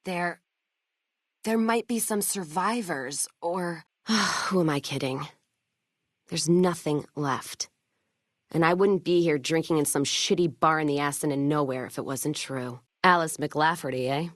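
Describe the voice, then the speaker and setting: Tough American woman caravan boss, low pitch, dry close sound, hard-drinking, sardonic, blunt, western video game character. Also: measured pace, dry recording. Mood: weary, resigned. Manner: blunt, sardonic, profane frontier drawl with folksy turns of phrase. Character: hard-drinking, sharp-tongued woman caravan owner in a post-apocalyptic western.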